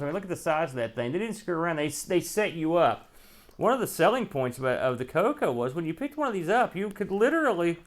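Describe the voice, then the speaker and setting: A man hosting an unboxing show, giving casual, off-the-cuff commentary in a folksy, colloquial way as he thinks aloud. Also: fairly high pitch. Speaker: host of a retro computer unboxing show.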